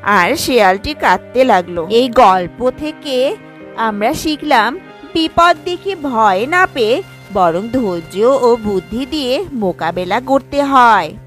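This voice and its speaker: A woman's voice: on the high side, animated, takes it slowly, fairly bright tone, faint music behind. A woman narrating a scripted children's story as storyteller.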